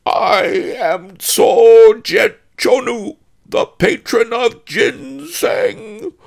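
Slow, booming, high-wailing wrathful spirit. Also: male voice, very animated, gravelly, heavily acted. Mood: menacing, roaring. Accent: Tibetan. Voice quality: hollow, haunted, booming, drawn-out wailing. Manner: slow, menacing, commanding. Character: ghastly wrathful Tibetan spirit, patron of ginseng.

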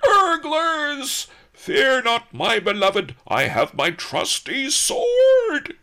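A man's voice: mid-range voice, animated, normal speed, creaky, bright, forceful tone, pitched above the performer's own voice, heavily acted. A man voicing a pompous, lovesick young male provincial governor who speaks in an overwrought, melodramatic way. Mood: shrill alarm.

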